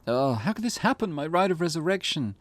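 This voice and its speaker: A man's voice: on the high side, very animated, brisk, dry recording, voice pushed up. A man voicing a desperate, menacing curse bearer in a visual novel. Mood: dismayed. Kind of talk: visual novel dialogue read aloud.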